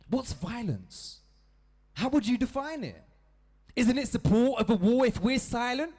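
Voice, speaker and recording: High, lively man rapping questions. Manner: rhetorical questions, rhythmic, urgent. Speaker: hip hop poet. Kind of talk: spoken word rap. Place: stage performance.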